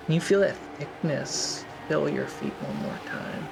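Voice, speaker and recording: A game master improvising descriptive narration for a tabletop fantasy game in halting phrases. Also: mid-range voice, huge pitch swings, vocal fry, noisy background.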